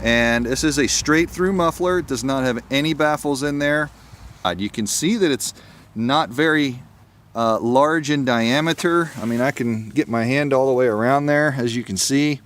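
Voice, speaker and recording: A man's voice: medium pitch, normal speed, a bit bright. A man hosting a casual how-to video, explaining things informally. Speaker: YouTube channel host.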